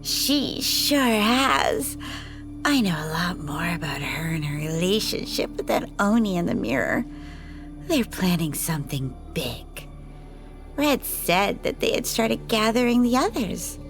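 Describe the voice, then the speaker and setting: A woman voicing a playful, flirtatious woman, a vampire's lover, in an audio drama, teasing and unhurried as she tells her stories. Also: fairly low pitch, very animated. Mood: teasing, confiding. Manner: teasing, unhurried storytelling with frequent laughter. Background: background music.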